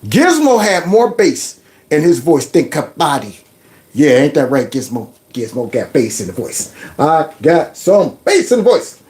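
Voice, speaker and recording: A middle-aged man hosting a commentary show, mocking and animated with loud outbursts. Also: on the high side, a little slow. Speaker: host. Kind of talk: commentary vlog reacting to clips.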